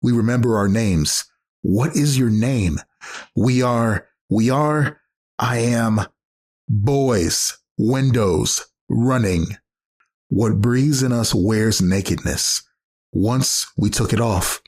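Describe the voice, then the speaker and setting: A man giving a dramatic poetry recitation with measured, deliberate phrasing in short lines. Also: mid-range voice, a little mellow. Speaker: poetry reader.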